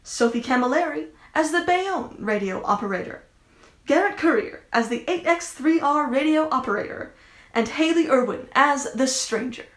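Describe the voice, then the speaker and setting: A woman's voice: high-pitched, expressive, normal speed. A woman reading a scripted announcement of a cast list before a performance. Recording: echoey room sound.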